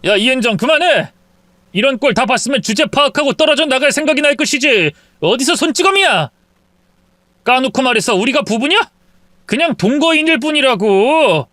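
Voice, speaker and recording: Brief: woman reading as a dismissive cheating husband, lively barking delivery, dry sound. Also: sits high, brisk, no echo, pitched above the performer's own voice. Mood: angry. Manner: barking, contemptuous.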